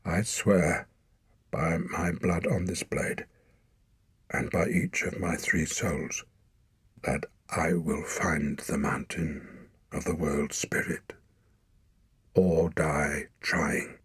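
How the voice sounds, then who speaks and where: A man's voice: medium pitch, wildly swooping pitch, slow, a little mellow, husky, dry recording, pitched above the performer's own voice, exaggerated voice. A man doing a frightened young boy. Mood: solemn. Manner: pleading, panicky, raised.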